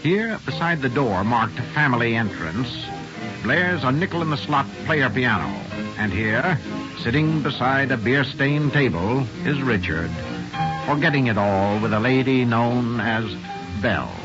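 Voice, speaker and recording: A man narrating a scripted 1940s radio drama in a deliberate, theatrical style. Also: mid-range voice, animated. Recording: dull old radio recording, loud music behind. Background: loud music.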